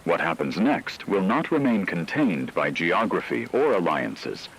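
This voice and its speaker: A man as a deep, grave radio war correspondent. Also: big pitch swings, fairly bright tone, slight background noise, exaggerated voice, low-quality recording. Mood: grave, urgent. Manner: solemn, measured, formal. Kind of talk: scripted emergency news broadcast narration.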